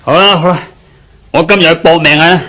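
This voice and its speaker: A man's voice: a little high, a little fast, bright, forceful tone. A man playing a hard-up, placating young debtor in a radio drama, speaking apologetically in Hong Kong Cantonese. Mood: eager, placating. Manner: apologetic, eager to agree.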